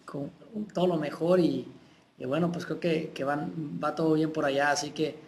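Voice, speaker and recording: A man's voice: a little high, steady tone, moderate pace, a little hiss or hum. A young man with a Mexican accent, chatting casually on a live webcam stream, reading out fan messages and sending greetings. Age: young adult. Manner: warm, chatty, reads out names and sends kisses. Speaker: singer answering fans on a webcam stream. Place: room with tall windows, indoors. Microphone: laptop webcam.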